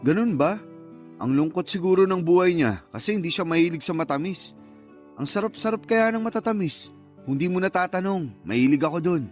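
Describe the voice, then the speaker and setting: An actor playing a flirtatious, flattering suitor in a radio drama dialogue. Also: male voice, high voice, brisk, soft background music. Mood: teasing, interested. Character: flirtatious, flattering suitor chatting up a taken woman.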